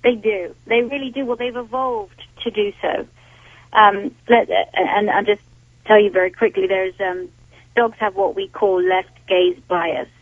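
A woman's voice: medium pitch, steady tone, unhurried, breathy edge, no room sound. A woman dog trainer talks a caller through his problem on a radio show. Her voice comes down a tinny phone line, dry and close. She explains patiently, with frequent fillers and restarts. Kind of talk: expert advice on a call-in radio show.

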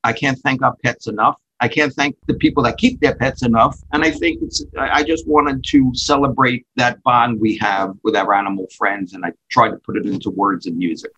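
A man's voice: mid-range voice, fairly even intonation, moderate pace, few pauses, pushed and bright, slightly rough, dull audio. A man talking as a guest songwriter in reflective interview conversation, often repeating phrases as he thinks.

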